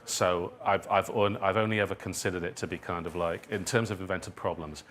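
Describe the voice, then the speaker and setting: Deep voiced guy at a live q&a, halting and circling his phrases, bit of room noise behind. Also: fairly even intonation, a bit bright. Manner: halting, circling and repeating phrases, chuckles at own remarks. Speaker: speaker, writer of audio drama. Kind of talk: conversational public talk answering audience questions. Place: indoor public event venue.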